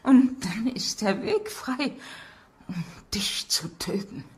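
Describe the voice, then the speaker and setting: A woman's voice: a little high, lively intonation, takes it slowly. An actress playing an ominous woman housekeeper in a radio play.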